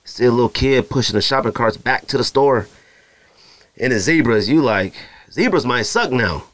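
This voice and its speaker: A man's voice: medium pitch, expressive, moderate pace, a bit bright, no room sound. A man from Arizona, hosting a podcast and talking casually in a slangy, rambling way, often mimicking other people. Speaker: podcast host. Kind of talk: casual solo podcast talk.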